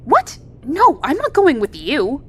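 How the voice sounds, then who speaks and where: A woman's voice: huge pitch swings, slow, darkish tone, heavily acted. An actress playing a wounded, wary and stubborn female survivor in a naturalistic audio drama. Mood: alarmed, defiant. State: wounded, sighing. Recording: faint low rumbling background noise.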